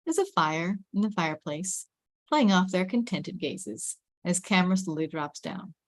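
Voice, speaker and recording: A woman's voice: lowish voice, expressive, normal speed, no room sound. A woman reading screenplay stage directions as scripted narration.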